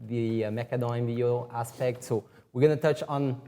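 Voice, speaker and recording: A man's voice: medium pitch, fairly even intonation, normal speed, warm tone. A man moderating a live webinar, upbeat and welcoming, inviting the audience to jump in with questions. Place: company headquarters office.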